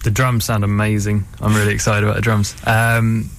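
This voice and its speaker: A man's voice: fairly low pitch, completely flat delivery, a little fast. A man in a band, chatting casually as a guest in a radio studio interview.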